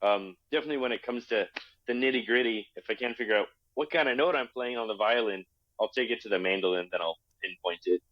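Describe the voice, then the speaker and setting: Low-voiced male guest, relaxed, slightly muffled. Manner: relaxed, thoughtful, halting. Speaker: guest musician. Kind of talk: casual interview chat.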